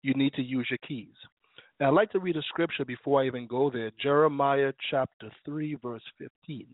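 Man, minister, preacherly, slightly raspy, phone-line sound, dry. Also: medium pitch, moderate pace, dry recording. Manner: fervent, preacherly, prays and reads scripture. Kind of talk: preaching on an internet radio show.

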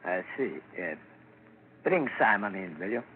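An actor playing a composed, probing doctor in a 1940s radio drama, measured and authoritative. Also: male voice, low-pitched, big pitch swings. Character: composed, probing male doctor treating mental cases. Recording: crackly, narrow-band 1940s radio broadcast.

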